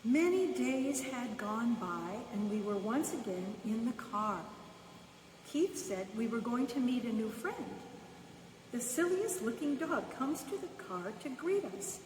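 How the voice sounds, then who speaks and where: A woman reading a children's bedtime story aloud in the first person, unhurried, pausing between short phrases. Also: a little high, lively intonation, darkish tone. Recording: echoey reverberant room, distant mic, faint noise.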